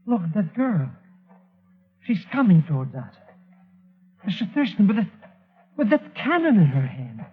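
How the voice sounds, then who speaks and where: Hapless male sidekick, very high, swooping, flustered delivery, vintage radio drama. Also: big pitch swings, unhurried, pauses often, breathy edge, full character voice. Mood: alarmed. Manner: stammering, flustered, protesting. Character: nervous, hapless, money-short male sidekick. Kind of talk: 1940s radio acting. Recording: muffled, phone-like old radio recording.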